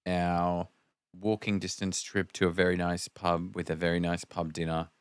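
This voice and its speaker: A man co-hosting a podcast, musing wryly in relaxed, casual conversation. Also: low voice, normal speed, smooth, no echo, studio quality. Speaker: podcast co-host. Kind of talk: casual chat.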